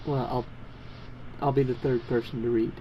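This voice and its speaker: A man chatting casually and reading aloud haltingly, stumbling and going back over words, over an internet voice call. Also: mid-range voice, flat delivery, lo-fi sound, a crowd in the background. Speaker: participant in a group fan fiction reading. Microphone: internet group voice call. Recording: noisy online voice call.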